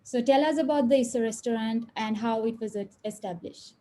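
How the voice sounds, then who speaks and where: A woman hosting a webinar, giving a formal introduction and asking interview questions in a measured way. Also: on the high side, warm tone. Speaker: webinar host. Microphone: video call.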